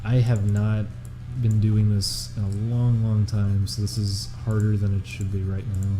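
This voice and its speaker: A young man from Chicago with a monotone voice, chatting casually and self-deprecatingly on a live stream. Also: fairly low pitch, over music. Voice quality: monotone, flat-sounding. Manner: rambling, self-deprecating, halting restarts. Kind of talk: casual live stream chat while drawing.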